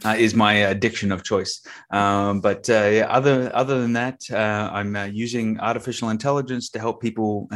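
A man's voice: fairly low pitch, fairly bright tone. Chatty Australian man. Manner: chatty, many fillers, acts out quoted dialogue. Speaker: podcast guest. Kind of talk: casual interview chat.

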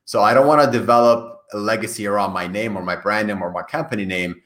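A man's voice: on the low side, fairly even intonation, fast talker, a bit bright. A man who grew up in Iran and speaks Farsi as his first language, answering podcast interview questions in an earnest way, often restarting and repeating words. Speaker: podcast guest. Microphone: call.

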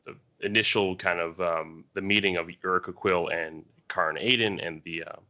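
A man co-hosting a casual podcast book discussion, speaking in a halting way that often circles back on itself. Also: sits low, steady tone, bright, forceful tone, highs cut off. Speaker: podcast co-host.

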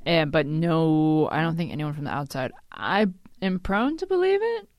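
A woman co-hosting a casual, jokey pop-culture podcast, swearing freely and breaking into quick asides. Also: deep voice, sing-song, a little mellow, no room sound. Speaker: podcast co-host. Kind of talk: casual comedic chat.

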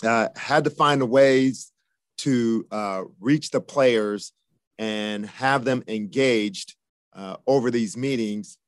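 A football coach in his fifties answers questions at a steady, measured pace. His voice sits at a middle pitch and is peppered with "you know" and "uh." The recording is dry and close, with no room sound. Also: dry recording. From Japan, raised in the United States from age seven.

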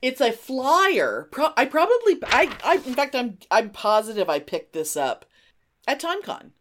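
A woman's voice: high voice, very animated, normal speed, a bit bright, a little hiss or hum. A middle-aged woman co-hosting a podcast in casual, effusive, sweary chat with a friend. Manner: effusive, sweary, gasps and exclaims. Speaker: podcast co-host.

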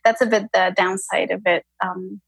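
A woman's voice: medium pitch, fairly even intonation, moderate pace, creaky, breathy edge, no echo. A young woman with an accent mixing French and Spanish, a yoga teacher talking thoughtfully as a podcast guest, with many fillers and restarts. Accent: French and Spanish influenced. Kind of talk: casual interview chat.

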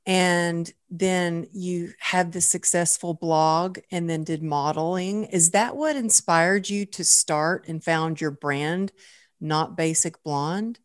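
A woman's voice: on the low side, slow pace, dry recording, studio quality. A middle-aged woman hosting a podcast as a mindset coach, speaking in an effusive, admiring manner. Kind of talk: podcast intro and interview.

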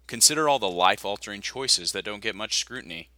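A man's voice: fairly low pitch, animated, brisk, sharp tone, no room sound. A man reading a nonfiction audiobook as scripted narration, close to the microphone.

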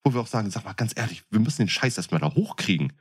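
Tiefe, raue Männerstimme, derb und lebhaft. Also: male voice, lowish voice, animated, rapid-fire, slightly rough. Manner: blunt, coarse, emphatic, colloquial. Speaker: podcast co-host, experienced window fitter. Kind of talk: casual chat, opinionated argument.

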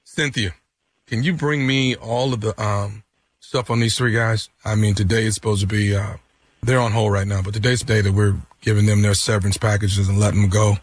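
A man's voice: fairly low pitch, steady tone, fairly quick, breathy edge, dry recording. A man playing an apologetic office manager who has to break bad news, hesitant and stammering. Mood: confiding, resigned. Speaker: comedian doing prank phone calls.

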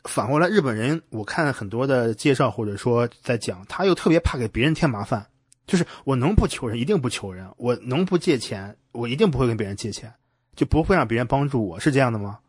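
A man's voice: medium pitch, lively intonation, fast talker, husky, no echo. A man hosting a casual interview, inquisitive, rephrasing what he hears into questions. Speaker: podcast host, interviewer.

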